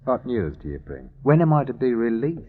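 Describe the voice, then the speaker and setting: A man playing a sly, unhurried baker in a 1950s radio drama, speaking with wry, deliberate calm. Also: medium pitch, natural voice. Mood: quietly threatening. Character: sly, unhurried bun baker, bargaining coolly. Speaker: actor. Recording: muffled old radio broadcast.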